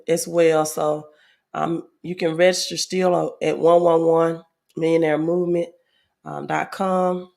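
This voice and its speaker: A woman hosting a casual livestream, a little hoarse from a head cold, reading viewer comments aloud with many fillers. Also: fairly low pitch. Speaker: livestream host.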